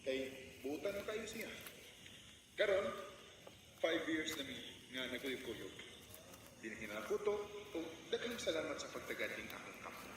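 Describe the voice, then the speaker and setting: A man's voice: a little high, very animated, moderate pace, long pauses, noisy background. An actor playing a shy, respectful young male student in a radio drama, hesitant and deferential, with a Cebuano accent. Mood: warm, accepting.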